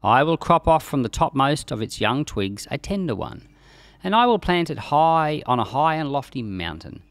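A man's voice: a little high, very animated, normal speed, no echo. A man in his forties giving informal, explanatory Bible teaching, conversational but emphatic on key words, close to a desk microphone. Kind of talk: explanatory Bible teaching, reading scripture aloud. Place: small indoor study with bookshelves. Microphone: close desk microphone on boom arm.

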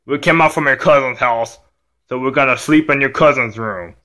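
A man's voice: medium pitch, moderate pace, bright, forceful tone, voice pushed down, close to an everyday voice. A man voicing a stern, weary dad in an amateur skit, admonishing his rowdy child in a repetitive, subdued way. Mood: calm, resigned.

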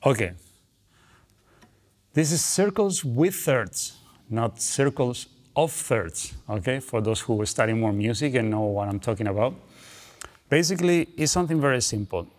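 A man, explaining guitar technique in a relaxed, chatty way, often ending phrases with "okay" and "you know". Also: medium pitch, a little slow. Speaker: guitar teacher. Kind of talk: instructional explanation between playing. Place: dark studio, seated with guitar on lap. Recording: long reverb, guitar playing between phrases.

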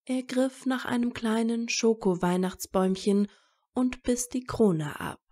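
Casting: female story narrator, mellow and relaxed, unhurried measured read of scripted fiction. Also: a little high.

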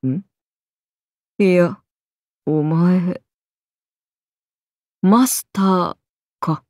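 Haughty serpent goddess for a game, super slow drawl, imperious n menacing, dry close sound. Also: female voice, lowish voice, a little mellow, no echo. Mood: languid, menacing. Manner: imperious, slow, archaic and languid speech. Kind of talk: video game character voice lines.

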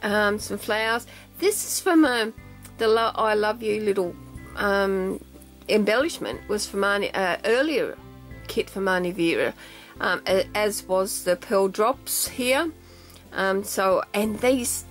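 A woman's voice: medium pitch, poor audio. A woman chatting casually on camera as she shows her craft album, with lots of ums and "okay?" checks. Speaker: craft vlogger. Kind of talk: casual show-and-tell craft video. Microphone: camera mic at a tabletop, hands only in shot.

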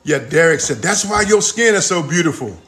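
A man's voice: a little high, animated, brisk, a bit bright. A man off camera in a home kitchen, teasing and asking questions for viewers. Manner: teasing, playful. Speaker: co-host, asks viewer questions.

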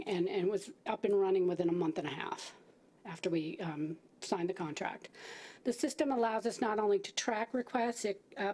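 A woman's voice: mid-range voice, dark tone. A middle-aged woman at a podium giving a staff briefing to a city council, talking conversationally with fillers and restarts. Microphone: podium microphone.

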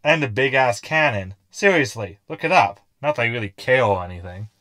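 A man's voice: medium pitch, expressive, fairly quick, bright, forceful tone, no room sound. A man playing a snide, teasing crewmate with a sarcastic manner in a sci-fi comedy audio drama. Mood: impatient. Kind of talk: sitcom audio drama acting.

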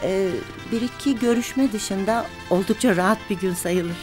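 An actress playing a tactful, deferential secretary in a TV drama. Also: female voice, mid-range voice, a little slow, lightly acted. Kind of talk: TV drama acting. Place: office, on set. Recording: music under the dialogue.

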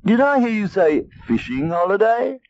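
A man's voice: high-pitched, big pitch swings, normal speed, darkish tone, pitched above the performer's own voice. A man voicing a sly storybook cat with a crawly, smirking manner and a smug, scheming temper. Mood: fawning. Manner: crawly, smirking, feigned casualness. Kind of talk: storybook character reading. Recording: dull tape transfer, faint noise.

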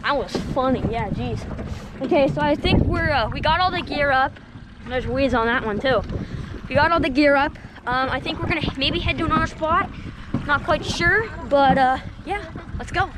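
A high-voiced teenage boy talks casually to the camera while fishing, calling his viewers guys every few lines. He's on a small open boat, caught on an action camera, with loud engine and water noise all around. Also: moderate pace. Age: teens. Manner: chatty, keeps addressing viewers as guys. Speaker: fishing vlogger.

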